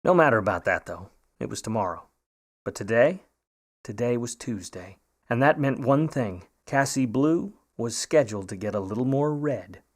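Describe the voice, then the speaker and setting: An actor playing a grudging, wry, world-weary 1950s Hollywood private eye, narrating in old-time radio noir style. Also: male voice, medium pitch, animated, normal speed, lightly acted. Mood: musing, wry.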